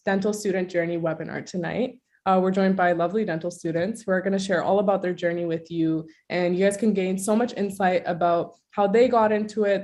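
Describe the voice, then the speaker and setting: A woman's voice: mid-range voice, a little mellow. A young woman from Toronto hosting a student dental webinar over a video call, friendly and a little scripted, with frequent "like" fillers. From Toronto, Canada. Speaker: webinar host, student club vice president.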